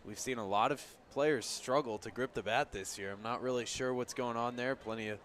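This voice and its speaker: A man's voice: mid-range voice, brisk, fairly bright tone, a little hiss or hum. A man giving conversational, analytical color commentary during a live baseball radio broadcast, explaining the plays as they happen. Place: outdoor ballpark broadcast position.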